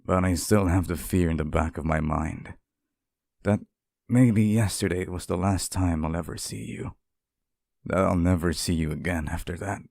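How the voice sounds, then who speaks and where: A man's voice: deep voice, steady tone, normal speed, vocal fry, a little mellow, dry recording, studio quality. A man playing a sweet, clingy, centuries-old sealed demon in an intimate ASMR roleplay, speaking tenderly and hesitantly to one listener. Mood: anxious, fond.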